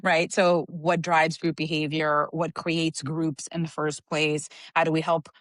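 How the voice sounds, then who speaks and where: Female podcast guest from Cuba, low voice, thoughtful and lively, dry close recording. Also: measured pace, no room sound. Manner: animated, reflective, laughs often. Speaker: guest, organizational psychologist. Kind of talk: casual interview talk.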